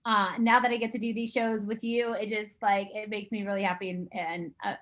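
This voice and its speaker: A woman's voice: medium pitch, flat delivery, a little fast, a bit bright. A woman hosting a video call show, upbeat and gushing, with many fillers. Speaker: host. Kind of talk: casual video call chat.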